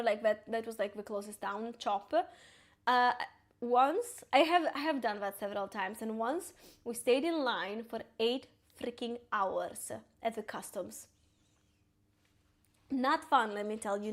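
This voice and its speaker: A woman's voice: a little high, unhurried. A woman in her twenties from Ukraine, speaking with an Italian accent, chatting casually with live-stream viewers and reading their messages aloud while cooking. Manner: chatty, talks to viewers and reads chat aloud, lots of "like".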